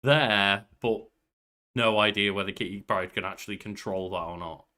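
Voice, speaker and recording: A man in his thirties with a lowish, bright and forceful voice. He co-hosts a podcast, chatting casually with joking, sweary commentary. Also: fairly even intonation, moderate pace. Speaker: podcast co-host.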